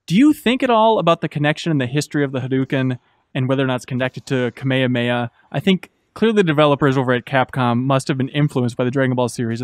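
Man in his thirties, quick talker, casual podcast interviewer, dry close-mic sound. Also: mid-range voice, dry recording. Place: indoor podcast studio with brick wall and shelves. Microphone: desk microphone up close.

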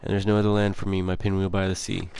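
Young guy w a low voice rambling thru a game, reads notes out loud. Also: little pitch movement, fast talker, vocal fry, slight background noise. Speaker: gamer playing a horror-adventure game. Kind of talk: casual let's play commentary.

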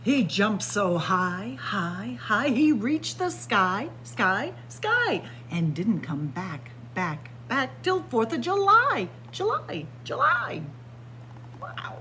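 A woman's voice: on the high side, big pitch swings, takes it slowly, pushed and bright, slight background noise. A woman in her sixties, a children's librarian, reading a picture book aloud in a library, chanting the rhymes in a sing-song way with playful asides, close to a webcam. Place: library with bookshelves. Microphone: webcam at close range.

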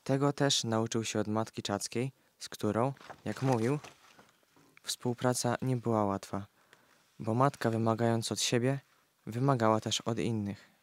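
A man reading scripted narration for an audio play in a measured, prepared way. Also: medium pitch, steady tone, a little hiss or hum.

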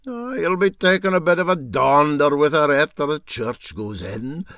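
Guy doing sly old highland boat captain in a storybook, sing-song teasing, slow drawn out words, lively pitch. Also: no room sound, clean studio sound. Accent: Scottish Highland. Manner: mock-sympathetic, drawn-out teasing.

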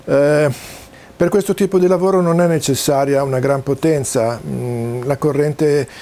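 A man gives an informal, halting technical explanation to camera, pausing often as he searches for words. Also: a little high, steady tone, mellow. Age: 60s. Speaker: presenter demonstrating home-built audio and video gear. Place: small cluttered home study, indoors.

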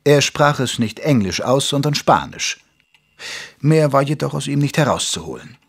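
Victorian doctor-narrator for audio drama: ageing, loyal, wry, measured delivery, husky middle register. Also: male voice, medium pitch, big pitch swings. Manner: measured, literate storytelling.

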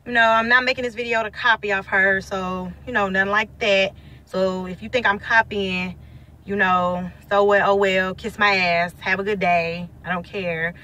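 Bright-voiced woman vlogging from a car. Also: medium pitch, moderate pace. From St. Louis, USA. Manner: blunt, slangy, lively and chatty. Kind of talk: casual selfie vlog. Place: inside a parked car. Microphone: phone held at arm's length.

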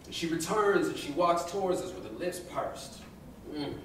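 A man in his thirties giving an expressive, colloquial dramatic reading of first-person fiction, acting out the dialogue on stage. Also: fairly high pitch, moderate pace, noisy background. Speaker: story reader. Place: arts venue stage, live with musicians. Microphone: studio-style microphone on a stand. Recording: echoey live room, distant, loud percussion. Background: live drum and percussion music.

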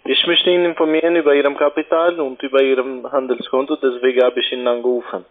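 A man's voice: mid-range voice, little pitch movement, fast. Non-native man on a muffled phone call. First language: not German. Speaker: call centre caller. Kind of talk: scripted phone pitch.

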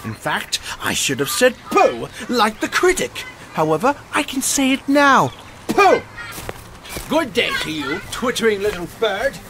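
A man's voice: high-pitched, very animated, measured pace, noisy background, heavily acted. A man playing a grumpy, self-important bachelor water-rat in an audio drama, pompous and indignant. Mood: huffy, indignant.

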